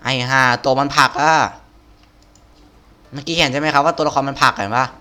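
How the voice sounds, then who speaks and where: A man's voice: medium pitch, fairly even intonation, brisk, pushed and bright. A man giving casual, slangy, exclamatory gameplay commentary, often talking to himself as he plays. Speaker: gaming video host playing through a game.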